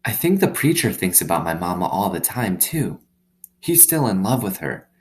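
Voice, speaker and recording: A man's voice: deep voice, very animated, moderate pace. A man reading a storybook, voicing a lonely, earnest young girl who confides plainly in her dog. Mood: wistful, unsure. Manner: plain-spoken, confiding, childlike phrasing. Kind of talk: storybook read-aloud.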